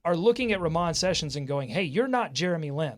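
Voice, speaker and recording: A man with a fairly high voice hosts a sports show. His talk is lively and informal, with a jokey streak. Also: fast. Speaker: podcast host.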